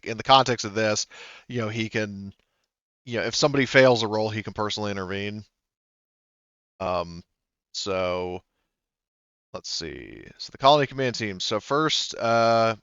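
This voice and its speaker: Man, game master, rambling, lots of fillers, smooth resonant voice, dull lo-fi sound. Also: on the low side, a bit bright, no echo. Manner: rambling, many fillers and self-corrections. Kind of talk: running a tabletop roleplaying game.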